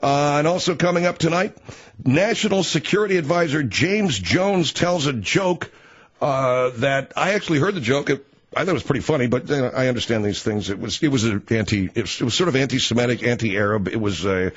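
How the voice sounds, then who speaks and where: A man hosting a call-in radio show, who talks in an animated, needling, incredulous way. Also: medium pitch, brisk, slightly rough, highs cut off.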